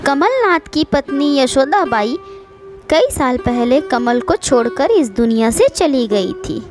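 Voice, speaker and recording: A woman's voice: high-pitched, big pitch swings, takes it slowly. A woman reading a scripted moral story as its narrator. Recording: music behind the voice. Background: background music.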